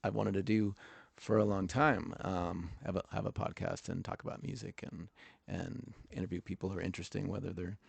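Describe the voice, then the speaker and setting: A man's voice: low-pitched, steady tone, brisk, vocal fry, few pauses, breathy edge, dry recording. A man hosting a music podcast, talking casually with a noticeable stutter. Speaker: podcast host.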